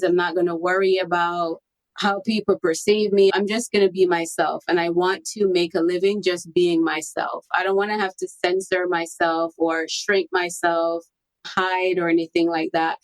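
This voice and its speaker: A woman's voice: lowish voice, rough recording. A woman guest on a podcast interview, telling her personal story in a candid, reflective way. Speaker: podcast guest, copywriting strategist.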